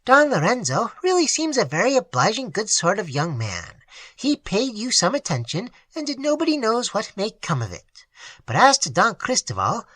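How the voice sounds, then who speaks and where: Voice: mid-range voice, moderate pace, dry recording, voice pushed up, exaggerated voice. A reader voicing a boastful, vain older aunt who gushes about her admirers, in an audiobook. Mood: gushing. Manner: gushing, self-flattering chatter. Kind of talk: audiobook character voice.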